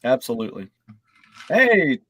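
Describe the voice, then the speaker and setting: Animated man in casual chat. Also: mid-range voice, unhurried. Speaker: podcast panelist.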